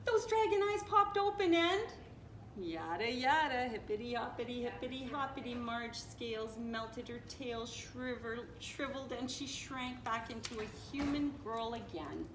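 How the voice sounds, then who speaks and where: A woman reads a storybook aloud in a fairly high, very lively voice. She is playful and dramatic, adding small asides as she goes. The recording is roomy, with noticeable noise behind her. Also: a little slow. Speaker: storyteller reading a picture book aloud. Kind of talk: animated children's read-aloud with asides. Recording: roomy echo with clear background noise.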